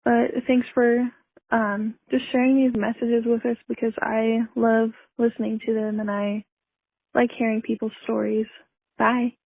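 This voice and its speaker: A woman's voice: a little high, little pitch movement, unhurried, gravelly, slightly rough, no room sound. A woman caller leaving a casual, confessional voicemail over the phone, hesitant and reflective. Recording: dry, narrow-band phone voicemail.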